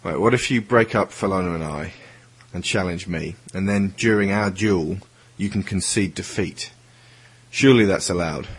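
Man playing an earnest schoolboy, deep voice. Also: normal speed, husky. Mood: pressing. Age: teenage schoolboy figure. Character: earnest, troubled schoolboy pleading against violence. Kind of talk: audio drama acting. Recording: music under the voice.

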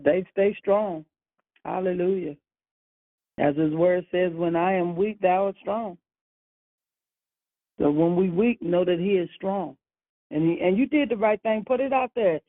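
A woman in her sixties leads a church prayer line, speaking slowly and warmly encouraging, with amens and hallelujahs. Her voice is dry and close, squeezed through a narrow phone line. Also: lowish voice, no room sound. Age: 60s. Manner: slow, encouraging, churchy exclamations. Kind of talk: church phone call fellowship.

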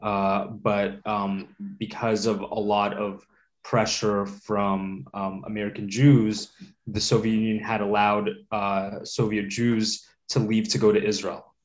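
A middle-aged man from Latvia talks through his memories at an easy pace, circling back and restarting as he thinks. His voice sits a little low for a man. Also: flat delivery, unhurried. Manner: thoughtful, many fillers and restarts. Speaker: guest. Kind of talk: casual interview conversation.

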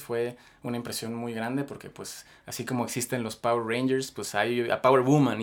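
A man with a Mexican accent hosting a podcast and introducing his guest at length with many fillers. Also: mid-range voice. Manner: rambling, filler-heavy introductions. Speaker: podcast host. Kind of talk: casual podcast interview.

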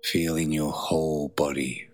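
Really deep slow guy doing guided meditation, soothing, pretty flat tone, long gaps between phrases.

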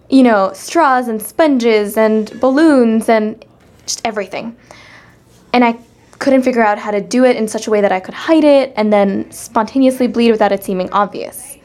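A woman's voice: fairly high pitch, normal speed. A woman telling a personal story as an interview guest, casual and emphatic, like a stage actress recounting an anecdote.

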